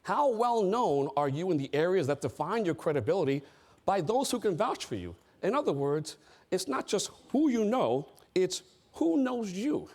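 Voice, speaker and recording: A man's voice: high voice, sing-song, moderate pace, darkish tone. A middle-aged man from the United States giving an emphatic seminar talk on board service, repeating his key phrases.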